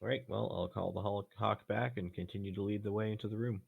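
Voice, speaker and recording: Deep, gravelly, blunt man gaming. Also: fairly even intonation, quick pace, phone-quality sound. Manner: blunt, sweary. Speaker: player in a tabletop game. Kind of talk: casual game chat.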